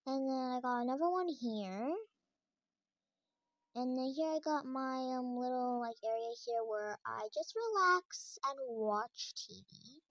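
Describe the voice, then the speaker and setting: A young girl with a high voice chats her way through a tour of her game house, rambling and restarting often. She films the tablet screen from close up in a dry, close-sounding room. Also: animated, measured pace, a bit bright, no room sound, muffled recording. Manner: chatty, rambling, many fillers and restarts. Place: indoors, filming a tablet screen.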